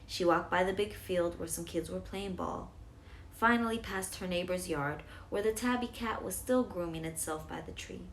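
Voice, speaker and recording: A woman's voice: fairly low pitch, normal speed, slight background noise, poor audio. A woman narrating a children's bedtime story as a storyteller.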